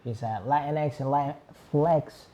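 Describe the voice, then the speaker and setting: Halting, mellow-voiced young man. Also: on the high side, slight background noise. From Puerto Rico. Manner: rambling, halting, many fillers and restarts. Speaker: podcast guest. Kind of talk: casual chat.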